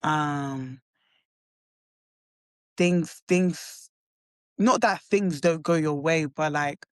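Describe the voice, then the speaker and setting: A woman's voice: low voice, very slow, dry recording. Hesitant young London woman, dry sound. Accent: London English. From London, England. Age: young adult. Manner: hesitant, full of likes and restarts. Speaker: college basketball player guest. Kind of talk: casual interview chat.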